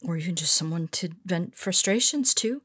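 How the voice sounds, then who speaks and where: A woman's voice: fairly low pitch, expressive, normal speed, a bit bright, breathy edge. A woman playing a powerful, blunt but secretly vulnerable superhero in an intimate audio roleplay, speaking conversationally with hesitant asides. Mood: hesitant, confiding.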